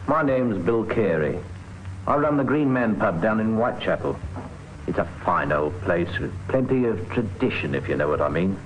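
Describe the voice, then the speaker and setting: A man plays a chatty, proud pub landlord in a vintage radio play. His voice is fairly low but very animated. There is faint noise on the old recording. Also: moderate pace, natural voice, rough recording. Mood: chatty, reminiscing. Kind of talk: old radio drama monologue.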